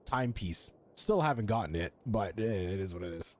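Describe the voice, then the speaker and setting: A man's voice: on the low side, expressive, fairly quick, darkish tone. Casual, slangy young man streaming. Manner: slangy, rambling, joking. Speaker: video game streamer. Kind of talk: casual gameplay commentary and chat.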